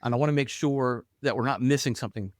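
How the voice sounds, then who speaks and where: A man's voice: medium pitch, fast talker, dry recording. Male host, mid-range voice, casual, repeats himself, dry sound. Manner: conversational, repeats words, asks follow-up questions. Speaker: podcast host. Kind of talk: casual podcast interview.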